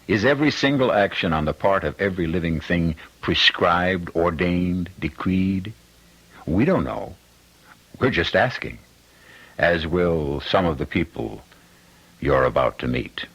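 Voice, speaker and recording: A man hosting a radio mystery drama, narrating from a script in a measured, rhetorical, ominous way. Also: low voice, animated, a bit bright, breathy edge, dry recording, highs cut off. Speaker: host and narrator. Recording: old radio broadcast with music.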